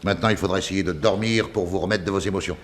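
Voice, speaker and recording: An actor playing a pompous senior police official in a vintage French radio drama, declaiming with authority. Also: male voice, low voice, fairly even intonation, quick pace, lightly acted. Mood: grandly authoritative.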